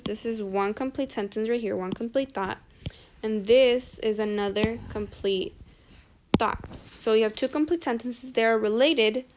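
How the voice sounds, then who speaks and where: A young woman teaching a grammar lesson over on-screen slides, explaining rules and often correcting herself as she goes. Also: fairly high pitch. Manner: talks through slides, frequent self-corrections. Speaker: tutor.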